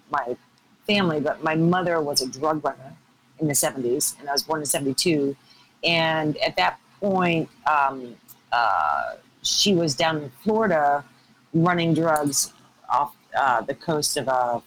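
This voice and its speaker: A woman's voice: deep voice, expressive, a little slow, fairly bright tone, rough recording. American woman in her 50s telling stories on a podcast, casual, bit of noise behind her. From Ohio, United States. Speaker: podcast guest, filmmaker. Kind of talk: casual conversational storytelling.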